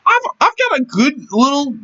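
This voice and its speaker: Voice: sits high, sing-song, moderate pace, sharp tone, dull audio. A streamer with a whiny voice, chatting casually and rambling, with phlegm in the throat and sudden yelps. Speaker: live streamer.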